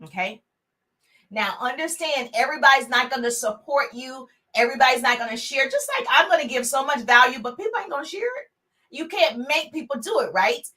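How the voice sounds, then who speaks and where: Energetic woman business coach on a live stream, big voice, high and bright, preachy and direct. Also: fairly quick. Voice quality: big, full voice. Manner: energetic, preachy, talks straight to viewers with repeated calls to share. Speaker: motivational speaker and business coach.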